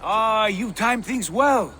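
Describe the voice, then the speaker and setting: Commanding Viking jarl, male, highish voice, very animated, imperious, ambitious, game cutscene. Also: takes it slowly, slight background noise, full character voice. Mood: eager, confident. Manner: imperious, intense, persuasive. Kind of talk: video game cutscene dialogue.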